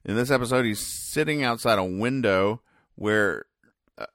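Male comedy podcast host, deep voice, halting deadpan explainer, close dry recording. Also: no echo. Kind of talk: comic commentary describing newspaper comic strips.

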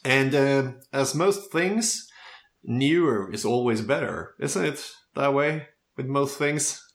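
Swedish guy in casual podcast chat, really unhurried, croaky fry, kinda hesitant. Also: medium pitch, animated. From Sweden. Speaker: podcast speaker telling his background. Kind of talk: casual conversation.

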